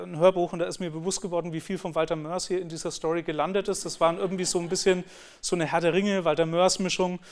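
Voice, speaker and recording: Earnest German-speaking male lecturer, slightly high. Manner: earnest, explanatory, with frequent restarts. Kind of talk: conference talk on teaching.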